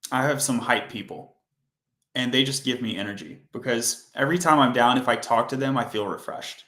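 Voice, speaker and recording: A man hosting a coding livestream, chatting casually and rambling with self-corrections, his voice hoarse and fading. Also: medium pitch, a little fast, a bit bright, reverberant.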